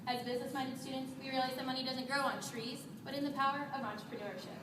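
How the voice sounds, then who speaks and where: Quick, high-voiced young woman presenting. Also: steady tone, recorded from a distance, background noise. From Nebraska, USA. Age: young adult. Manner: brisk, memorized delivery. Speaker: student presenter. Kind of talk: rehearsed team presentation. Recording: echoey hall, recorded from afar.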